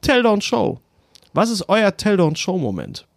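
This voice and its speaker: A man's voice: high-pitched, wildly swooping pitch, unhurried, creaky, no echo, clean studio sound. A male podcast host chatting casually, playful and often repeating himself for emphasis. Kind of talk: casual banter.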